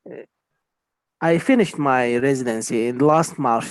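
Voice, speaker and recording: A man's voice: mid-range voice, unhurried, clear, resonant tone, no room sound. A man, a neurosurgeon, talking hesitantly in broken English on a video call. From Sudan. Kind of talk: casual video call chat.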